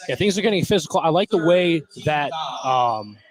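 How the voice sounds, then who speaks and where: A man's voice: on the high side, lively intonation, moderate pace, crowd noise, poor audio. A man giving conversational, opinionated color commentary during a live basketball broadcast. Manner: casual, opinionated. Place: indoor school basketball gym.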